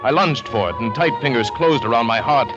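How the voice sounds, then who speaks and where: A man's voice: medium pitch, expressive, brisk, natural voice. An actor playing a confident big game hunter who recounts his adventures, in the style of 1940s radio drama. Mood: urgent. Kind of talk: 1940s radio drama acting, first-person narration. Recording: dull old radio recording with loud music.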